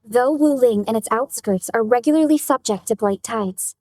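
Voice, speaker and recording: A woman voicing a composed young supervisor who briefs her team in a formal, measured, businesslike way in a video game. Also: a little high, close to an everyday voice. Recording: loud game music behind. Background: music.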